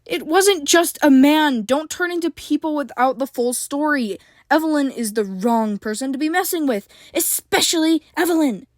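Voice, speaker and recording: Lady playing a fierce mom snapping loud and high, dry sound. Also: moderate pace, no room sound, lightly acted. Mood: angry. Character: fierce, protective mother, quick to anger.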